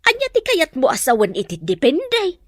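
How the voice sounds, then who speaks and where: Insistent mother, bright voice. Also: high voice, wildly swooping pitch, normal speed, dry recording, clean studio sound, full character voice. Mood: insistent, concerned. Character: nagging, concerned mother of grown son. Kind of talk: radio drama acting.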